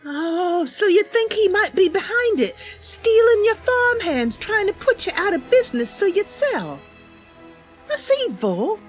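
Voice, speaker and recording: A woman's voice: extremely high pitch, sing-song, unhurried, faint music behind. A bubbly, chatty woman livestreamer talking casually and improvising roleplay as she plays a game. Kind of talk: casual live stream chat with improvised roleplay.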